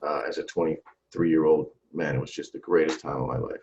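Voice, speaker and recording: A man in his forties talking about his music career in a casual interview, animated and full of restarts and repeated words. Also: a bass voice, brisk, slight background noise. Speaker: trumpeter and singer, interview guest. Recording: dull lo-fi sound.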